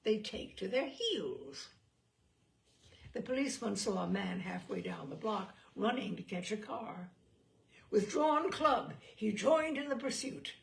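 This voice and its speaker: A woman reading classic short fiction aloud with theatrical, deliberate, expressive storytelling. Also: mid-range voice, vocal fry. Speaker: story reader.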